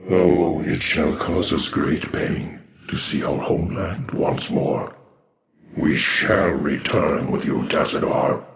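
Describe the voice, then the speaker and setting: Very deep, echoing dark alien elder. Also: male voice, gravelly, full character voice. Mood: proud, sombre. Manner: slow, portentous, formal. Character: ancient, brooding outcast alien dark warrior, proud male. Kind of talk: dramatic video game voice acting. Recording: echoing, reverberant game voice processing.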